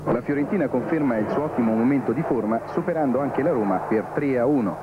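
A man's voice: medium pitch, quick pace. A man narrating a scripted football match report on an old television broadcast. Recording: phone-like, noisy archive TV broadcast.

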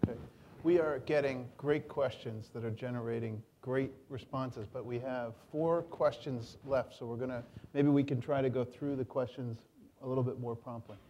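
A man's voice: medium pitch, fairly even intonation, dark tone, a little hiss or hum. A man moderating a panel discussion, keeping the questions moving. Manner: brief, brisk interjections.

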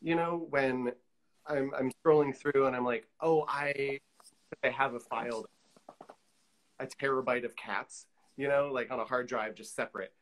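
A man's voice: mid-range voice, steady tone, unhurried, a bit bright, poor audio. A middle-aged man being interviewed casually on a video call, thinking aloud in loose, hesitant fragments. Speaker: guest, collage artist. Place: indoor room, on a video call.

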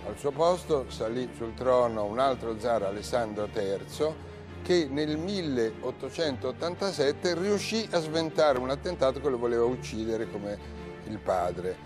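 A man hosting a history show in a TV studio, speaking in a measured, formal way. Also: mid-range voice, expressive. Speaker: TV show host. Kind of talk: hosting, scripted closing narration. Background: music.

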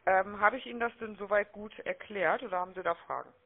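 A woman making a phone sales pitch, chatty and persuasive, often checking for agreement, heard over a telephone line. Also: quick pace. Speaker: telemarketing caller. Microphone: telephone call.

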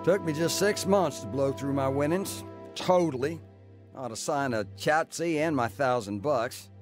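An actor playing a world-weary gambler and crook who narrates a radio drama in a wry, clipped, hardboiled way. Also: male voice, on the high side, measured pace, music in the background, lightly acted. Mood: wry recollection. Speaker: narrating protagonist. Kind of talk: first-person radio drama narration and acting.

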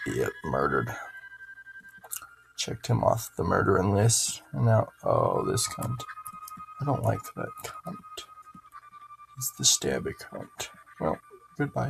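A man's voice: low voice, creaky, poor audio. A man giving casual, rambling gameplay commentary, swearing and muttering to himself as he plays. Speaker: gaming video host. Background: video game music.

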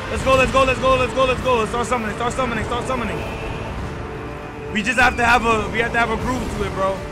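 A young American man giving casual, excitable let's-play commentary, slangy and repeating his phrases. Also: extremely high pitch, quick pace. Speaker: gaming YouTuber. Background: game music.

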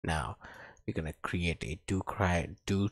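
Very deep, slow-talking man teaching coding. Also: dry recording. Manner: halting, talks while typing. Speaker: programming instructor. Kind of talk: screen-recorded coding tutorial narration.

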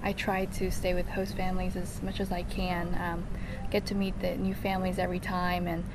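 A woman's voice: lowish voice, completely flat delivery, normal speed. Polite young woman from Korea, outdoor noise. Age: 20s. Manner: polite, soft-spoken, laughs lightly. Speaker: professional golfer, guest. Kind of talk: interview answers. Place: outdoors on a lawn at a golf course. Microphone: handheld mic held by interviewer.

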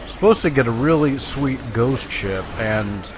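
A man's voice: mid-range voice, lively intonation, a little slow, noisy background. A man giving casual, self-deprecating let's-play commentary, talking directly to his viewers. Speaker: gaming YouTuber, host. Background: video game sound.

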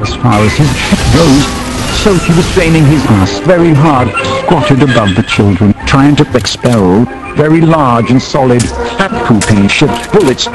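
A man narrating a storybook in a dramatic, expressive, theatrical style. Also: medium pitch, normal speed, low-quality recording. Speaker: narrator of a parody audiobook. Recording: loud music over the reading.

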